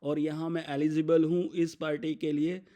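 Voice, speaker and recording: An Indian man gives an instructional software demo. His voice is relaxed and warm, sitting a little higher than most men's. He explains each step in turn and slips English business terms into his sentences. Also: moderate pace. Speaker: software demonstrator.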